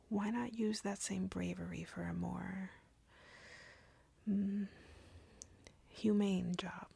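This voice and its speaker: Woman voicing a breathy, whispering, arrogant vampire woman. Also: medium pitch, a little mellow, no room sound, full character voice. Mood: mocking, probing. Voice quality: breathy, husky near-whisper with creak. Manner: slow, taunting, condescending, teasing. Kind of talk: scripted roleplay audio, intimate ASMR-like delivery. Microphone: close, dry microphone.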